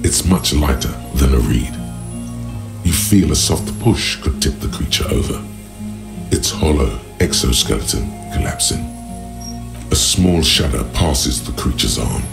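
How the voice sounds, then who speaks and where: A man voicing the brooding inner narration of a detective game, gravelly, deliberate and poetic. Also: very deep voice, lively intonation, full character voice. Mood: measured, mesmerized. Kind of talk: scripted second-person game narration. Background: music.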